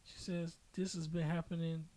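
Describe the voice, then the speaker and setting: A man's voice: fairly high pitch, flat delivery, normal speed, mellow. A man hosting a casual podcast, talking in slangy, emphatic, opinionated style and repeating himself for effect. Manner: emphatic, slangy storytelling with repetition. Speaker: podcast host.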